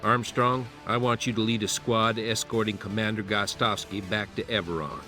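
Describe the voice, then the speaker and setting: An actor playing a senior military commander in a game, giving orderly, authoritative briefings. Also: male voice, lowish voice, fairly even intonation, normal speed, pushed and bright. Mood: grave, businesslike. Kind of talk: scripted military game dialogue. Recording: music behind the voice.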